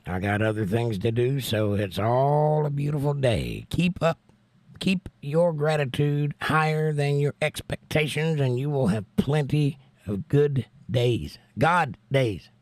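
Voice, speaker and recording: An American man hosting a casual livestream and reading out viewer comments, hoarse from laryngitis, folksy and joking with preachy asides. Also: medium pitch, animated, a little slow, a little mellow, dry recording. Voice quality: hoarse, strained. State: laryngitis, losing his voice. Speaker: livestream host.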